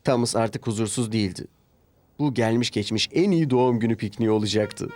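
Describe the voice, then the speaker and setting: A man's voice: fairly low pitch, a little fast, a little mellow. A man narrating a scripted children's story as the storyteller. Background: background music.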